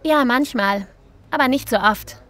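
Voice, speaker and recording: A woman's voice: high-pitched, measured pace, a bit bright. A woman playing an easygoing, informal younger passer-by, chatting casually in a scripted language-lesson dialogue.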